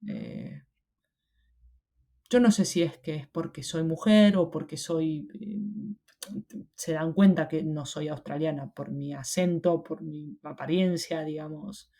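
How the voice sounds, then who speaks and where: A woman's voice: sits low, slow, dark tone. A young Argentine woman with an Argentine Spanish accent, talking reflectively as an interview guest, often hesitating and restarting. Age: young adult. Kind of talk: casual interview conversation.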